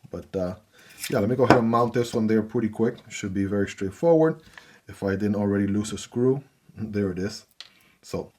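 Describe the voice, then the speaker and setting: A man's voice: lowish voice, darkish tone, a little hiss or hum. A man casually talking through an unboxing and hands-on review of a gadget, easygoing and chatty with frequent fillers. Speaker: gear reviewer.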